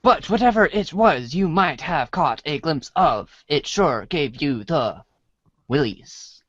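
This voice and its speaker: A man's voice: a little high, lively intonation, slow, dry recording, lo-fi sound. A man narrating a comic storybook script in the second person.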